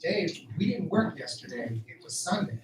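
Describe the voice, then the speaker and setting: Roomy recording, faint noise, male actor as uneasy farm worker, radio drama, higher male voice, earnest, plain-spoken. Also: very animated, normal speed, far from the mic. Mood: concerned.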